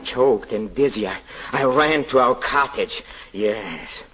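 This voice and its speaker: An actor playing a haunted, grieving European husband confessing a tragedy, halting, stammering and pleading in theatrical 1940s radio drama style. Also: male voice, medium pitch, over loud music, lightly acted. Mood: haunted, remembering. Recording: muffled, noisy old radio recording.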